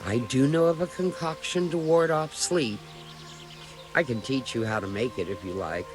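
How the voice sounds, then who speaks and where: A man acting a brisk medieval herbalist in a video game, matter-of-fact and slightly impatient. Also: mid-range voice, over music, natural voice.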